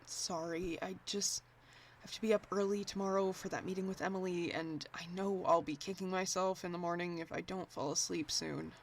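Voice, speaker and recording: A woman playing a restless, irritable software engineer in an audio drama, talking in a dry, pedantic, bickering way. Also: on the low side, fairly even intonation, moderate pace, a little hiss or hum, natural voice.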